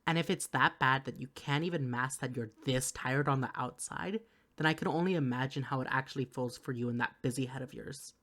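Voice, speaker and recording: A woman's voice: extremely low pitch, brisk, fairly bright tone. A woman playing a worried, exasperated partner in an intimate audio roleplay, speaking to the listener with earnest, caring reproach. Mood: tender, concerned. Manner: earnest, pleading, caring scolding.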